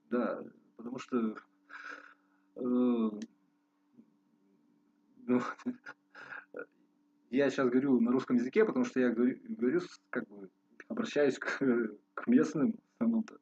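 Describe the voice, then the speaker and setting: A man's voice: mid-range voice, a little mellow, breathy edge, highs cut off. A man from Russia rambling casually to his viewers, often breaking off and restarting his sentences. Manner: rambling, restarts often, slow and pondering on some stretches. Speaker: musician vlogger. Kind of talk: casual rambling talk to camera.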